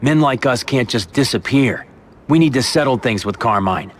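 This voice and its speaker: Actor as cocky, blunt mob boss. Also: male voice, medium pitch, animated, normal speed, a little hiss or hum, lightly acted. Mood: resolute. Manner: tough-talking, profane, commanding. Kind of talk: video game cutscene acting.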